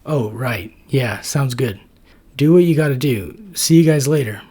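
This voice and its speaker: A man's voice: mid-range voice, expressive, unhurried, mellow, husky, pitched above the performer's own voice. A man voicing a tired, exasperated male helper T cell who keeps explaining things to his roommate. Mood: friendly.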